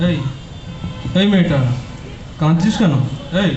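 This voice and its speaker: A man's voice: fairly high pitch, a little slow, a little mellow, lightly acted. A man playing a caring, teasing young college student who keeps the peace among friends in an audio drama. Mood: teasing, consoling. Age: young adult. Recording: dull echoey recording under music.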